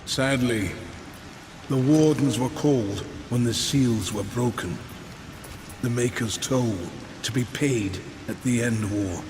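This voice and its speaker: An actor playing an old Maker, a weary and wise craftsman, speaking in slow, solemn, measured tones in a video game cutscene. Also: mid-range voice, noisy background, natural voice. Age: older. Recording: reverberant, game score behind.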